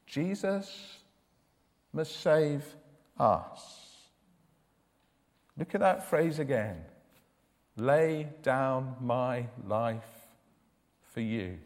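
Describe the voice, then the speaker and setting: A man preaching a sermon with a loud voice, emphatic and given to repeating his words as he starts a sentence. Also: fairly high pitch, in a large hall.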